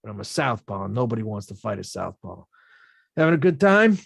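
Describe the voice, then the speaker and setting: A man's voice: lowish voice, sing-song, a little fast, vocal fry, husky, dry recording, clean studio sound, exaggerated voice. Rocky, a blunt boxer, read by a man. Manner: slangy, blunt, self-mocking. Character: Rocky, a rough-talking young male boxer. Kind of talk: script table read.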